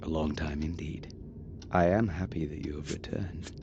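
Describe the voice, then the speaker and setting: Game role: aloof castle lord, very low voice, measured and formal, muffled recording. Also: male voice, fairly even intonation, creaky. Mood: politely formal. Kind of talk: scripted video game voice acting. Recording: muffled, very noisy game audio.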